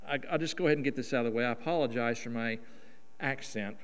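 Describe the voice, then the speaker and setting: A man's voice: medium pitch, smooth. A man in his fifties from Oklahoma with a Southern drawl, preaching a folksy, emphatic sermon as a guest preacher in a church. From Oklahoma, USA. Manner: folksy, emphatic, drawn-out vowels. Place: church sanctuary. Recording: reverberant church hall.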